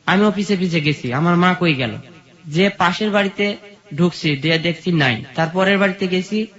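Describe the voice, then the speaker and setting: A man's voice: high-pitched, normal speed, a bit bright. A man with a rural Bangladeshi accent recounts his own life in an interview, telling it plainly step by step with repetition. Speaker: guest telling life story. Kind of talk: personal storytelling in an interview. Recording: dull, muffled lo-fi sound.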